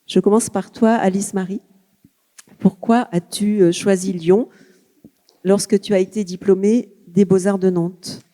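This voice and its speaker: A woman moderating an art round table, asking measured questions and addressing the panelists by first name. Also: mid-range voice, steady tone, mellow. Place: museum auditorium. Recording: long reverb of a hall.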